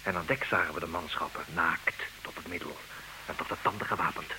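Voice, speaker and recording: A man acting in a comedic radio play as a formal, businesslike suitor, stubborn and dryly exasperated, speaking with measured, theatrical emphasis. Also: deep voice, fairly even intonation, lightly acted. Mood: sleepy, dryly storytelling. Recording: noisy, hissy old radio recording.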